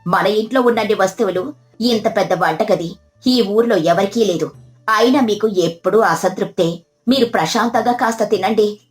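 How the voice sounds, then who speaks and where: A woman's voice: mid-range voice, moderate pace, soft background music, lightly acted. A woman voicing a proud, snobbish wife of a village headman in a story, haughty and complaining. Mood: defensive.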